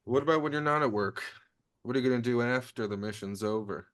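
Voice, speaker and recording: A man's voice: mid-range voice, fast talker, a bit bright, lightly acted. A man playing an earnest mission planner on a heist crew in a comedy table read. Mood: wistful.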